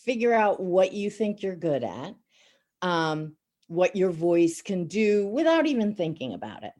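Brief: middle-aged woman, raspy, sarcastic, fairly low voice, chatty interview guest. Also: very animated, a little slow, dark tone. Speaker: voice actor and teacher. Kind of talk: casual interview chat.

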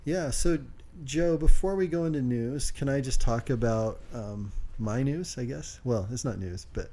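A man co-hosting a casual podcast, speaking quietly and hesitantly with lots of fillers. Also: medium pitch, unhurried. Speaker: podcast co-host.

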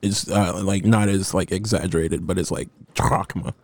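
A man's voice: deep voice, moderate pace, creaky, darkish tone, dry recording. A man co-hosting a podcast, chatting casually with crude jokes. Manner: joking, crude. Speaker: podcast co-host. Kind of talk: casual chat.

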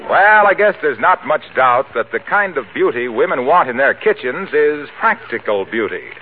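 Animated 1940s radio announcer man, scratchy broadcast. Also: medium pitch. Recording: scratchy narrow-band 1940s radio recording.

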